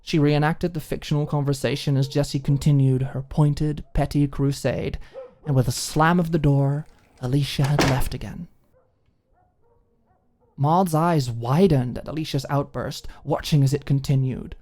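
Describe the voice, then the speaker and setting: Low-pitched woman narrating an audiobook. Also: fairly even intonation, moderate pace, mellow, slight background noise. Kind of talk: expressive scripted story narration, ASMR audiobook.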